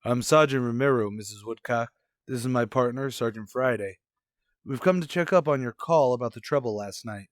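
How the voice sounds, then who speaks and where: A man's voice: medium pitch, normal speed, no echo, close to an everyday voice. Weary male detective, close dry sound, acted. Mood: official. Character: weary, dogged male police detective sergeant. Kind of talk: 1940s radio police drama acting.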